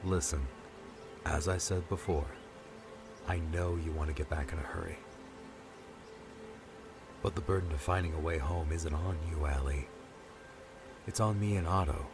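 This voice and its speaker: A man's voice: rumbling low, normal speed, pauses often, music in the background. A man playing a laid-back, protective rescuer with dry humor in an audiobook. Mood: earnest, reassuring. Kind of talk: audiobook character dialogue.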